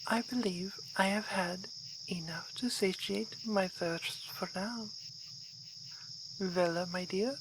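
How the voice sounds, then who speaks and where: A woman's voice: medium pitch, slow, natural voice. A woman playing an aristocratic vampire baroness in an ASMR roleplay, speaking in a formal, refined, seductive and composed way. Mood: savoring. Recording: clear night insect noise behind voice.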